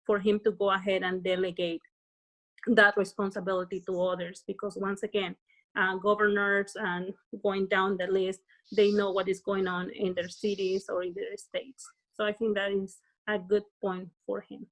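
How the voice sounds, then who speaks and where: A woman from Peru speaking on a panel in a measured, thoughtful way. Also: medium pitch, level tone, low-quality recording. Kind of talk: casual discussion.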